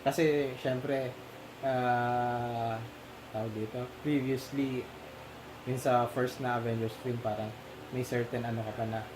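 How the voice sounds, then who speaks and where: A man's voice: mid-range voice, fairly even intonation, unhurried, noisy background. A man with a Filipino accent chatting casually about film, often searching for words as he switches between two languages. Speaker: movie discussion host. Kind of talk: casual film discussion in Tagalog-English mix.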